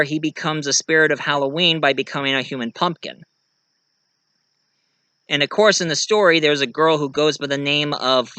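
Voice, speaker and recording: A man talking casually and ramblingly about stories he wrote, halting and repeating phrases as he goes, with an animated storyteller's way and the odd "eh" tagged on. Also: a little high, clear, resonant tone, dry recording. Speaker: video creator and amateur writer.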